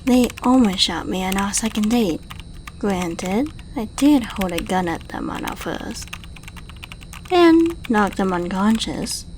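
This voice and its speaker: Woman acting a slow-talking, suave female secret agent. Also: mid-range voice, animated, background noise. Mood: playful, amused. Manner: playful, polite, coaxing, unhurried. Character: suave, teasing female secret agent, flirtatious and coolly menacing. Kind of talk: scripted ASMR roleplay, addressing the listener.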